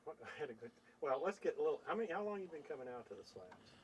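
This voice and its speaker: A man's voice: a little high, sing-song, rapid-fire, a little mellow. An American man asking questions in a casual documentary interview, informal and a little hesitant. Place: on location at a desert camp, ambient noise. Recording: noisy 1990 amateur videotape sound.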